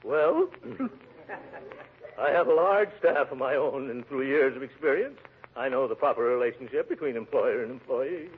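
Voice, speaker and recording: An actor with an American accent playing a pompous, easily flustered uncle in a 1940s radio comedy. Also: male voice, mid-range voice, lively intonation, normal speed, husky. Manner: pompous, hemming and hawing. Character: blustering, flustered pompous uncle, prone to nervous chuckles. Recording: tinny, noisy 1940s radio recording.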